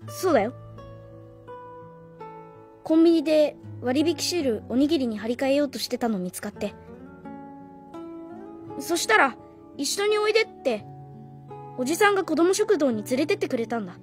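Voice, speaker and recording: A woman's voice: high-pitched, lively intonation, normal speed, pauses often, soft background music. A woman playing a guarded, defiant boy in his early teens in a radio drama, speaking plainly and boyishly. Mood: earnest. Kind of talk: Japanese radio drama acting.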